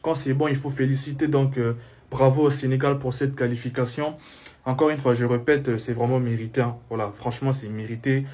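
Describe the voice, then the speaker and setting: A man's voice: mid-range voice, level tone, a little fast, darkish tone. Man rambling about football. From DR Congo. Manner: repetitive, leans on fillers like "voilà" and "hein". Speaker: football reaction creator. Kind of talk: casual reaction talk.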